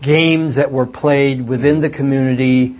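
A man's voice: medium pitch, fairly even intonation, unhurried, a little mellow. Hesitant older man telling stories. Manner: reflective, hesitant storytelling with many fillers. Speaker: author and former reporter. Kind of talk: book talk with audience questions.

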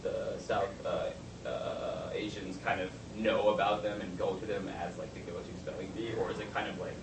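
Halting male interviewer, far off. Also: low voice, fairly even intonation. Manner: hesitant, halting, with restarts and filler words. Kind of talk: interview questions. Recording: distant, echoey room with noise.

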